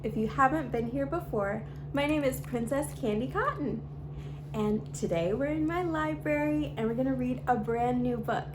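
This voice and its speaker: Storytime host, woman, higher voice, expressive read-aloud, steady hum under the track. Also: moderate pace. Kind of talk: reading a picture book aloud to kids. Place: home library.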